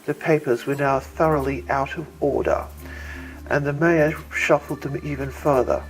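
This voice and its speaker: A man's voice: mid-range voice, moderate pace, low-quality recording. A man reading their own science fiction story aloud as scripted audiobook narration. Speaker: author reading own novel. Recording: music bed behind.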